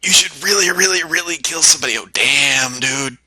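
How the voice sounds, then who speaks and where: A man's voice: fairly high pitch, brisk, pushed and bright, slightly rough. A man chatting casually as a player in a role-playing game on an online call. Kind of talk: casual improvised chat and play. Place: online group video call.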